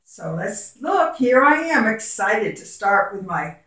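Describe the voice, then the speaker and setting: Slow, patient woman in an echoey room. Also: a little high, big pitch swings, fairly bright tone. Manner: sing-song, patient, coaxing questions. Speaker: former teacher reading to young children. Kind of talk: read-aloud lesson for young children. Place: home classroom. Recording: very echoey room, voice far from mic.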